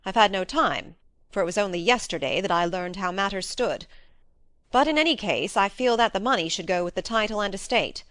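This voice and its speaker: A woman's voice: medium pitch, lively intonation, fairly quick, fairly bright tone, no room sound, close to an everyday voice. A woman voicing an eager, frank young male baronet in an audiobook story. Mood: earnest.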